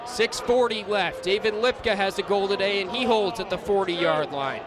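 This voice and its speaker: Play-by-play man, young, high-pitched and excitable, live lacrosse radio call over a noisy stadium crowd. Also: normal speed. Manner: excitable, rises to shouts on big plays. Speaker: play-by-play announcer. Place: sports stadium during a live game. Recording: loud crowd noise behind commentary. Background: crowd and arena noise.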